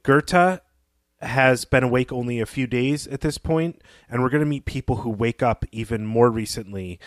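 A man with a mid-range voice works through a piece of fiction as a podcast co-host. He is analytical and measured, explaining his reading point by point. The sound is dry and close, with very little room in it. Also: mid-range voice, no room sound. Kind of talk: literary discussion of a story.

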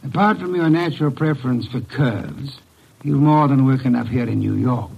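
An actor playing an imperious, grumpy, erudite detective boss with a gravelly voice and formal, precise, curt speech, in an old-time radio drama. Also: male voice, medium pitch, lively intonation, moderate pace. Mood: measured, probing. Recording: dull old radio recording.

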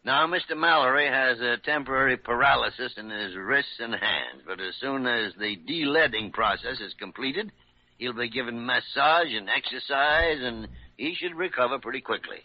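An actor playing a gruff, fatherly senior doctor in a 1940s-style radio drama. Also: medium pitch, normal speed, dry recording, natural voice. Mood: matter-of-fact. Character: seasoned male senior doctor, gruff, fatherly, matter-of-fact. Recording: dull, dry old radio recording.

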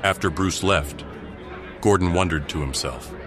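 A man's voice: low-pitched, a little slow, crowd noise. A man delivering scripted, dramatic story narration for an audio drama. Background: music.

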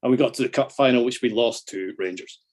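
A man's voice: mid-range voice, quick pace, a little mellow. A middle-aged Scottish man chatting casually about football as a podcast guest, self-deprecating and happy to swear. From Scotland.

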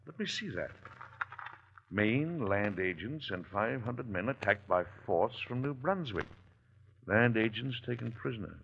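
An actor playing a dignified, middle-aged president and father in old-time radio drama, formal, measured and easily exasperated. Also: on the low side, lively intonation, creaky, lo-fi sound. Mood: stern, urgent. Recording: old radio recording, faint hiss.

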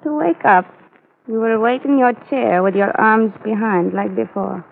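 Cool seductive spy, creaky, vintage radio. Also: female voice, a little high, measured pace. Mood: assured, businesslike. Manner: poised, coolly teasing. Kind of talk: 1940s-style radio drama acting. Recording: muffled old radio broadcast, faint noise.

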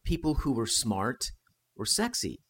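A man's voice: mid-range voice, sing-song, a little slow, a bit bright, husky. A man telling history stories in a casual, conversational way as a podcast guest. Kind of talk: casual chat, storytelling about history.

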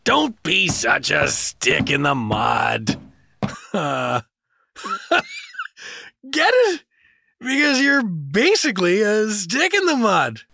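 A man's voice: high-pitched, wildly swooping pitch, takes it slowly, vocal fry, pauses often, pushed and bright, a little hiss or hum, heavily acted. A man playing a goofy, boastful, drunken adventurer in a fantasy audio drama, slurring and muddling his words. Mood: tipsy, playful.

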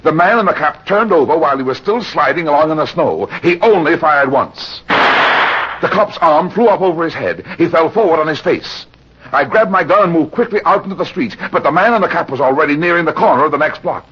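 A muffled vintage radio recording carries a tough American private eye. The voice is husky and sweeps widely in pitch, yet stays terse and matter-of-fact, in pulp noir style. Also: male voice, animated, brisk, fairly bright tone. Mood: tense, grim narration. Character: hard-boiled, unsentimental male private detective in pulp radio drama. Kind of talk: old-time radio noir acting and narration.

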